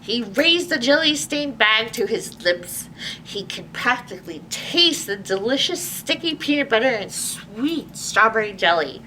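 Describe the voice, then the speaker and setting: Children's storyteller, female: high lively voice, expressive read-aloud with asides, slightly distant mic. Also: a little slow. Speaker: librarian hosting children's story time. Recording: distant mic with faint background noise.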